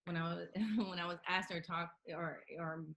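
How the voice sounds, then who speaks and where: A woman's voice: fairly low pitch, flat delivery, normal speed, fairly bright tone. A woman of Dominican and Puerto Rican family speaking conversationally on a panel, with frequent fillers and restarts. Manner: conversational, hesitant, many fillers. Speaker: panel member. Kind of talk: casual video-call discussion.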